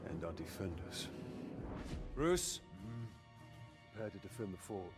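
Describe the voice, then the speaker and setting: A stern warrior ranger speaks low, in short, dry, commanding phrases. It is game cutscene dialogue, with loud music underneath. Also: male voice, very animated, measured pace. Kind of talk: video game cutscene acting.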